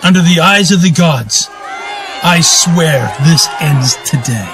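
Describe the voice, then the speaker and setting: A man's voice: a little high, lively intonation, slow, over music, crowd noise. A man playing a proud, vengeful duke who claims a throne in a fantasy radio drama, declaiming in righteous, grandiose oratory. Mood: grave, indignant. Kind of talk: radio drama monologue in a fantasy audio play.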